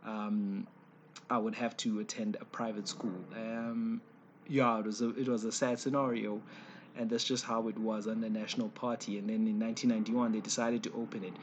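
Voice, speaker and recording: A South African man in his forties with a slightly raspy voice, giving an informal opinion piece in a hesitant, conversational way, often correcting himself. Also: lowish voice, level tone, moderate pace, muffled recording, a little hiss or hum. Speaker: online commentator.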